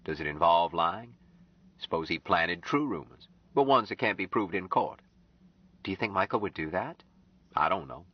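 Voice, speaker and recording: A gruff, sardonic older man with a low, dry delivery and blunt remarks, as an audiobook narrator plays him. The tone still moves a fair amount. The audio sounds slightly dull. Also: animated, moderate pace.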